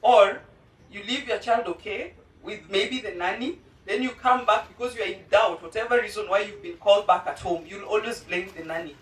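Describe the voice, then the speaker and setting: A mother of two special-needs children giving a heartfelt personal testimony at a charity launch, earnest and pausing often. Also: medium pitch, far from the mic. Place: indoor event venue. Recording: roomy hall, voice from off-mic.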